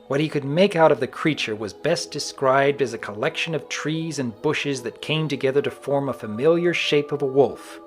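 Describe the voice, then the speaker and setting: Male narrator for dramatic audiobook; very lively intonation, medium pitch, faint music bed. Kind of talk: dramatic scripted story narration.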